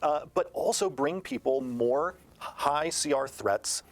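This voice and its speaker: A man's voice: on the high side, slow. A man talking as a game designer in an interview, explaining a tabletop game book in a conversational way.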